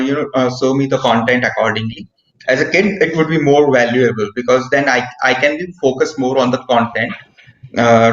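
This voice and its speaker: A man giving feedback in an online meeting, hesitant, with many fillers and frequent "right?". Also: medium pitch. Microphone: video call. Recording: roomy, dull video call audio.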